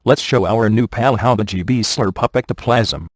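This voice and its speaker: A sarcastic, laid-back ghost hunter from a video game. His voice sits low and is recorded close and dry. He talks in glib wisecracks with a sardonic edge. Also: normal speed, breathy edge, no echo. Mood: dry sarcasm. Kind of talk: scripted video game character dialogue.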